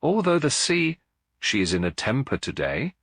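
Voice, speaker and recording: A man's voice: medium pitch, wildly swooping pitch, normal speed, fairly bright tone, no echo, studio quality, pitched above the performer's own voice, exaggerated voice. A man voicing a courteous, observant Belgian detective with formal, courtly manners in a mystery audiobook. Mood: courteous, wry.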